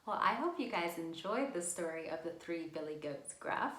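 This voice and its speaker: A woman's voice: on the low side, moderate pace. A woman hosting a children's storytime as a librarian, speaking in simple, encouraging, sing-song phrases addressed straight to young listeners. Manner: animated, sing-song delivery. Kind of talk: children's storytime with read-aloud, songs and rhymes. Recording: roomy, echoing indoor sound.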